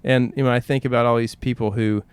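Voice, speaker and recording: A man of average pitch co-hosts a conversational podcast in a close, dry recording. He muses earnestly, often posing questions and acting out little quotes as he reflects. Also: steady tone, quick pace, smooth, dry recording. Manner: earnest, poses rhetorical questions, acts out quotes. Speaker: podcast co-host.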